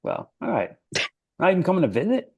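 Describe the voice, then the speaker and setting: A man's voice: sits high, wildly swooping pitch, a little fast, vocal fry, husky, narrow, tinny audio. A young man hosting a casual sports podcast, chatty and self-deprecating, with lots of fillers. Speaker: podcast host.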